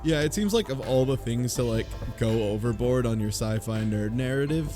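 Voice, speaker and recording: A man's voice: medium pitch, lively intonation, moderate pace, over music. A man co-hosting a podcast, riffing in a wry, casual, joking way with the group. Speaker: podcast co-host. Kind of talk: casual comedic group chat.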